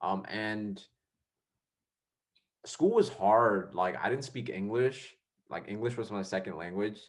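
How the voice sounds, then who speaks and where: Young male host born in Vietnam, low-pitched, easygoing and talkative. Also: expressive, slow. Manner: easygoing, chatty, self-mocking. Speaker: podcast host. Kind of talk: casual chat.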